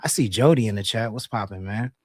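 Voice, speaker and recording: A man hosting a live radio show, raspy from being under the weather, talking in loose slang with many fillers and restarts. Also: lowish voice, fairly quick, a little mellow, no room sound, studio quality. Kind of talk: casual live radio talk.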